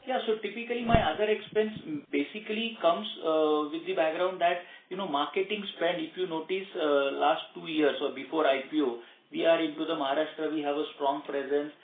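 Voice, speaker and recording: A man's voice: a little high, fairly quick, slight background noise. A male company executive, answering analysts' questions on an earnings call, hesitant and full of fillers. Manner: hesitant, many fillers and restarts. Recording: echoey phone line.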